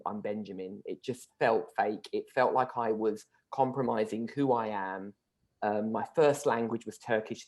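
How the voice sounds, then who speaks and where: A middle-aged man, a guest speaking reflectively in an interview, pausing often with ums. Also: medium pitch, a little mellow. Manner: thoughtful, hesitant, many ums.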